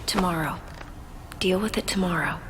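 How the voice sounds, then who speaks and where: A woman playing a spirited, sharp-tongued young woman, brave and caring, in a video game cutscene. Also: fairly low pitch, moderate pace, crowd noise, natural voice. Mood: teasing, tender. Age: young adult. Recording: long reverb, loud music behind.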